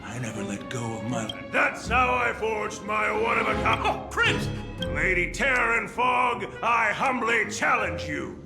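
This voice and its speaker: A high, gravelly gangster underboss for a video game, hot-headed and loyal. He declaims with bombast and big theatrical swings, in a roomy echo with music behind him. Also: big pitch swings, measured pace, heavily acted. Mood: boastful.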